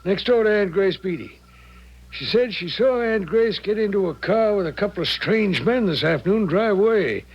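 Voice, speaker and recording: An American actor playing a plain-spoken small-town sheriff with a creaky voice, folksy and deliberate, in 1970s radio drama style. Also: male voice, high-pitched, lively intonation, muffled recording, exaggerated voice. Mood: matter-of-fact.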